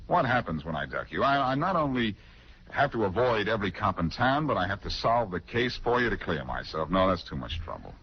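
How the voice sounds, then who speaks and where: A breezy amateur detective in a 1940s radio drama, deep and very animated in pitch. He banters flippantly and teases as he talks. The old recording is muffled. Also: brisk, a little hiss or hum. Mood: flippant, unbothered. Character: breezy, wisecracking amateur sleuth, adult man.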